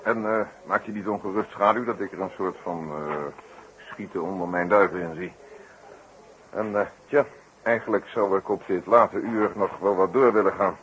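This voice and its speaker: An actor playing a brisk, plain-spoken, authoritative police inspector in a 1960s radio drama. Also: male voice, sits low, steady tone, fairly bright tone, lo-fi sound. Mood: collegial, businesslike. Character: no-nonsense Dutch police inspector leading interrogations. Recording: old radio recording with hiss.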